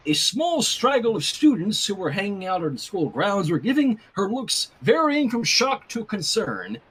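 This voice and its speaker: Male story reader, high husky voice, wry, casual asides. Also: sing-song, unhurried, fairly bright tone, poor audio. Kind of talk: fan fiction reading with casual asides.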